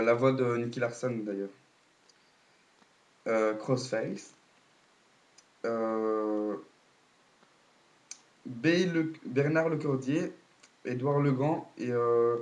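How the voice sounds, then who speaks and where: A man's voice: medium pitch, darkish tone. Guy with a cold rambling a casual recap, lots of ums and restarts. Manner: hesitant, many fillers and restarts. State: has a cold, coughing. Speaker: video blogger.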